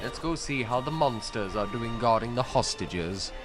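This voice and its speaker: A man's voice: medium pitch, lively intonation, moderate pace, music in the background, natural voice. A man as a bossy, smug toy owl. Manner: exasperated, superior, commanding. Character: bossy, smug male toy owl, impatient know-it-all. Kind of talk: comic audio drama acting.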